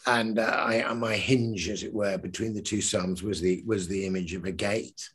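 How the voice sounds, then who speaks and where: Husky older man on a video call. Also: on the low side, steady tone, moderate pace, a bit bright. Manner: earnest, with many restarts in talk and swelling emphasis when reading. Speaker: poet. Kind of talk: discussion and reading his poem aloud. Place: home living room.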